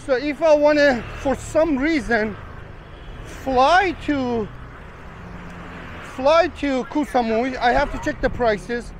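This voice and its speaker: A man's voice: very high-pitched, slow, long pauses. A man talking casually to viewers while walking a rainy street, rambling and chatty, calling them guys. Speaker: travel vlogger. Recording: street traffic noise behind.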